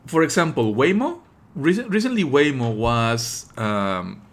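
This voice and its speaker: A man streaming live commentary, explaining in an opinionated, pedantic way with halting delivery and emphatic stresses. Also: medium pitch, expressive. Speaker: streamer and host.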